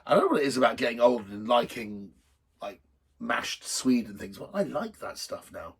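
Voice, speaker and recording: A middle-aged man with a slightly husky voice hosting a drinks show in a rambling, jokey, blunt and sweary unscripted chat to camera. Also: mid-range voice, sing-song, moderate pace. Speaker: host of a drinks video show.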